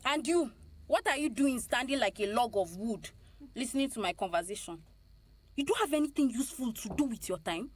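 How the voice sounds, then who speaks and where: Woman acting a cruel, high-voiced Nigerian madam. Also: animated, brisk. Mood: contemptuous, irritated. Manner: scolding, contemptuous. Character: cruel, domineering madam holding a captive, short-tempered. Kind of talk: radio drama acting.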